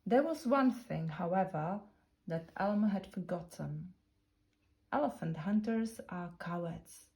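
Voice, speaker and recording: A woman narrating a children's picture book in a deliberate, expressive storytelling manner. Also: on the low side. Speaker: storybook reader.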